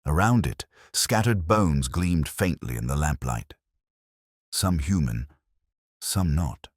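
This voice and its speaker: A man narrating a scripted detective story in a measured, atmospheric storytelling manner. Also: very deep voice, animated, no room sound, studio quality.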